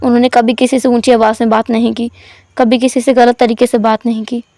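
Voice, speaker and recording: A woman voicing a sympathetic male guard who talks quietly in a lowered voice. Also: little pitch movement, brisk, no echo, studio quality, natural voice. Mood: hushed. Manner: hushed, low, gossiping. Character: older male guard, soft-spoken, sympathetic.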